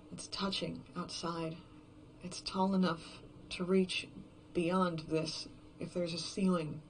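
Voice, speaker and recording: A performer voicing a despairing, obsessive lone survivor in a horror fiction podcast, brooding and halting in a confessional monologue, often dropping toward a whisper. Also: mid-range voice, gravelly, slight background noise, poor audio. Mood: tormented longing.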